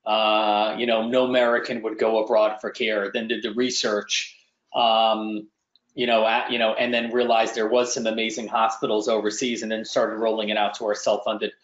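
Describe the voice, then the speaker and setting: A man's voice: fairly low pitch, little pitch movement, normal speed. A middle-aged man hosting a webinar on a video call, talking conversationally with many ums and restarts. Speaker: webinar host. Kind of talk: presenting and interviewing. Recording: roomy, dull video call audio.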